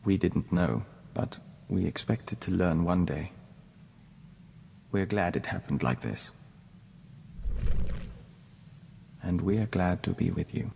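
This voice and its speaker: A deep-voiced envoy of a hive mind, serene and devoted. His speech is formal and measured, like a courteous mystic in a sci-fi game. The sound is very reverberant, with some noise behind it. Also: vocal fry, darkish tone, heavily acted. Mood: gentle, sincere. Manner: measured, formal, speaks of himself as we. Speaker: companion character in a video game. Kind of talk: scripted game dialogue.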